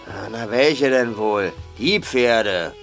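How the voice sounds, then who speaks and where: A man's voice: lowish voice, animated, takes it slowly, a bit bright, faint music behind, heavily acted. An actor playing a jovial, condescending male farmer of the 1850s in an audio drama. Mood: breezy, patronizing. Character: breezy, patronizing male plantation farmer of the 1850s. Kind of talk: German audio drama acting.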